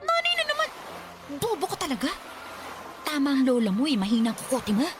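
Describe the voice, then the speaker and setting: A woman's voice: sits high, wildly swooping pitch, fairly quick, noisy background. A woman playing a cruel, scolding Filipino mother in a radio drama, berating and threatening in a harsh temper. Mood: furious scolding.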